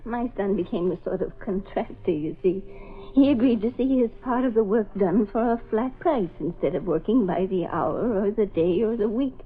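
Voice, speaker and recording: A woman's voice: mid-range voice, moderate pace, natural voice. An actress playing a proud, weary old widowed mother in a 1930s radio drama. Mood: weary, resigned. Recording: muffled band-limited old radio recording.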